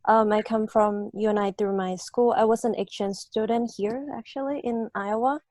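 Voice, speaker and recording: A woman's voice: on the high side, steady tone, moderate pace, warm tone, dry recording, clean studio sound. Young Thai-accented woman on student panel. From Thailand. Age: early 20s. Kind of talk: informal panel answers.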